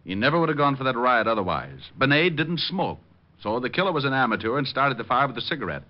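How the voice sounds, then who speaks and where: An actor playing a suave, quick-witted male private detective in a 1950s radio drama, cool and sardonic. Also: mid-range voice, very animated, natural voice. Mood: calm, knowing. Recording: dull old radio broadcast.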